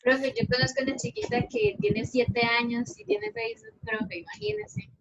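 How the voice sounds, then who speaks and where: A woman, a student, calling out suggestions in a lively way. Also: very high voice, normal speed, vocal fry, highs cut off, a little hiss or hum.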